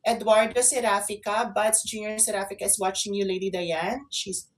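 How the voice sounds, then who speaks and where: A woman's voice: mid-range voice, flat delivery, moderate pace. A woman co-hosting an online radio show, talking in a casual, conversational style. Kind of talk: casual chat and listener shout-outs.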